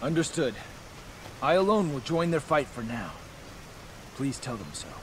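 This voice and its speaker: A man's voice: mid-range voice, sing-song, unhurried, background noise. A man playing a breezy, confident martial-arts fighter in a video game. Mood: easygoing, confident. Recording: loud music over dialogue.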